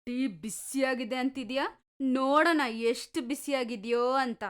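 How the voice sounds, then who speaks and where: A woman's voice: high voice, a little slow, fairly bright tone, smooth. A woman voicing a cruel middle-aged stepmother who scolds and orders her stepdaughter around. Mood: taunting. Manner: harsh, commanding, taunting. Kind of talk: cartoon story voice acting.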